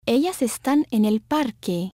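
A woman reading short phrases for a language lesson, saying each one slowly and clearly and then repeating it. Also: on the high side, expressive, warm tone, no room sound. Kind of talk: slow, carefully enunciated drill reading. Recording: dry, close studio voice-over.

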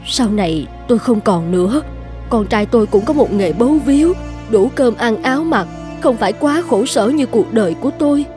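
A woman's voice: fairly high pitch, animated, normal speed, close to an everyday voice. A woman voicing a poor mother in a cartoon who gives her life for her son, speaking in a pleading, tender way. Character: poor self-sacrificing mother, adult woman. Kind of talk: cartoon dubbing. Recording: music playing under the voice.

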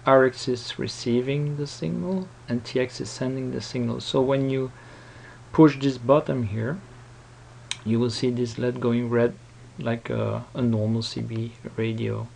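A man giving an unscripted software tutorial, explaining step by step in a halting, repetitive way. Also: mid-range voice, fairly even intonation, gravelly. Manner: explanatory, halting, repeats himself. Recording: noticeable background noise.

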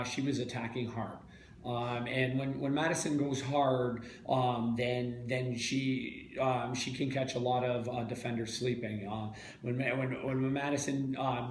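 Halting man talking in an echoey room. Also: medium pitch, a little mellow. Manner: halting, many repeated words and fillers. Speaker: head coach. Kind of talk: postgame press interview. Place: small press room, indoors. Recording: echoey room, faint background hiss.

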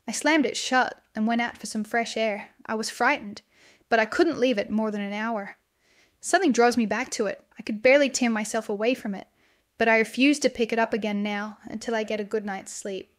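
A woman's voice: on the high side, steady tone, fairly quick, no room sound, clean studio sound, natural voice. A woman playing a young student confiding a horror story to her video diary in a dramatic way. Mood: uneasy, obsessed. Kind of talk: first-person horror audio diary.

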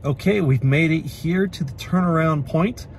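A man's voice: fairly high pitch, moderate pace, slight background noise. A man in his thirties from the Pacific Northwest, vlogging to a phone camera from inside his car at night, talking in a chatty, matter-of-fact way with lots of uhs. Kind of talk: casual vlog talk to camera. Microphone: phone camera at arm's length.

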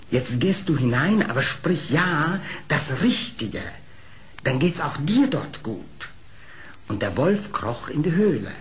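Sly flattering fox in an old radio play, really lively pitch, takes his time, bit of noise. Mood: self-assured. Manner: theatrical, courtly, flattering storytelling. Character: sly, smooth-talking male fox telling his adventures. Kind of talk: German radio play acting.

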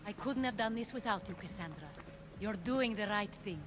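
An actress playing an ambitious, visionary schemer of ancient Greece in a video game, speaking in a measured, earnest and persuasive way. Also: female voice, medium pitch, expressive, noisy background, close to an everyday voice. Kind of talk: dramatic video game cutscene acting.

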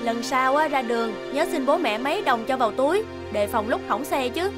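A woman playing a sharp-tongued but caring young mother who minds a repair shop, in an expressive animated cartoon dub. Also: high-pitched, moderate pace. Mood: stern, dismissive. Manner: expressive, scolding or fussing. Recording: music behind the voice.

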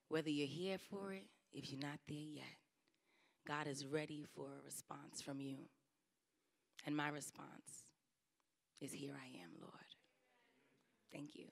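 A woman's voice: low-pitched, gravelly. A young woman preaching a trial sermon at a lectern into a handheld mic, earnest, her emphasis rising and falling. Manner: earnest, rising and falling emphasis, softening to a near whisper at the close. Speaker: licentiate preacher. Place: church stage, indoor. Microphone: handheld microphone at a lectern.

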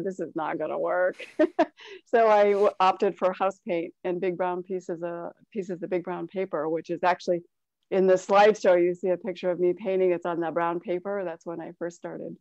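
A woman from Cleveland, Ohio, chats warmly about herself on a video panel with a few ums. Her call audio sounds dry and a little dull. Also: on the low side, no echo. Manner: friendly, chatty, some fillers. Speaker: artist on a panel. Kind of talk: casual panel talk.

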